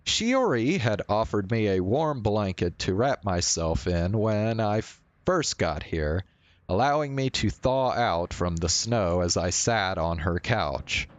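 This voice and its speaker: Deep voiced guy streaming a visual novel, talks slow, kinda roomy sound, faint music behind. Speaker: streamer, host. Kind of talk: casual game stream chat and read-aloud narration of a visual novel. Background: faint game music.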